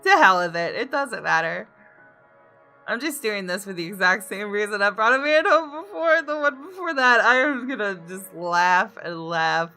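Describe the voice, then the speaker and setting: A woman's voice: medium pitch, expressive, moderate pace, bright, forceful tone. A woman reading the first-person narration of a jaded, guilt-ridden woman in a visual novel, in a dramatic confessional manner. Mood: bitter. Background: guitar game music.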